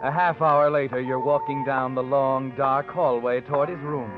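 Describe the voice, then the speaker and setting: A man's voice: medium pitch, fairly even intonation, moderate pace, lightly acted. Guy doing a knowing, sinister 40s radio mystery narrator, thin phone-like sound, music underneath. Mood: ominous. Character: ominous, knowing male storyteller addressing the guilty. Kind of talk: 1940s radio suspense narration in second person. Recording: tinny old radio broadcast with music.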